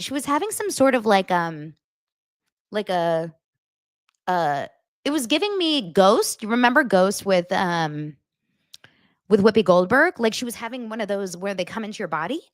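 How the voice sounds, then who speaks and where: A woman's voice: fairly high pitch, dry recording, studio quality. A woman hosting a daily pop culture show, chatting to her audience in a gossipy, exaggerated way. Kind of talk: casual chat to camera.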